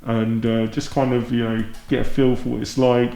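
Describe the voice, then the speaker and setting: A man's voice: mid-range voice, flat delivery, normal speed, gravelly, warm tone. A man with a British accent hosting a casual podcast chat, speaking earnestly and often repeating words for emphasis. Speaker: podcast host. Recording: roomy echo on the voice.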